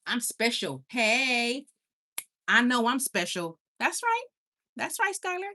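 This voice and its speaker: A woman's voice: on the high side, slow pace. A young woman with a Caribbean Spanish accent, hosting a casual late-night livestream from home and chatting with viewers in a bubbly, talkative way. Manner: chatty, bubbly, squeals with excitement, coos to her dog. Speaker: livestream host. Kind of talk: casual live chat reading viewer comments.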